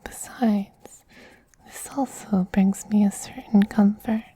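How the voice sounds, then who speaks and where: A man voicing a doting, possessive femboy vampire queen in a soft, breathy whisper, slow, tender and gently commanding, as in an ASMR roleplay. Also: high voice, steady tone, exaggerated voice, low-quality recording. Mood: tender, affectionate.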